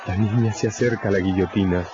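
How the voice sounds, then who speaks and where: A man's voice: lowish voice, steady tone, fast, noisy background. A man reading scripted dramatic narration as the narrator of a radio drama. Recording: dull recording, music behind.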